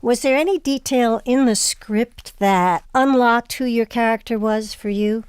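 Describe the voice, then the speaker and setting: A woman hosting an interview, putting prepared questions in a measured, polished way. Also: fairly high pitch, clean tone, dry recording, studio quality. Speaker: interview host.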